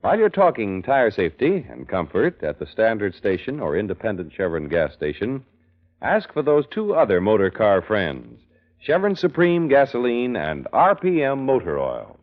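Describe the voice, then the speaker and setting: A man's voice: lowish voice, wildly swooping pitch, moderate pace. An American man delivering scripted announcements in a 1940s radio style. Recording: dull vintage radio recording. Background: music.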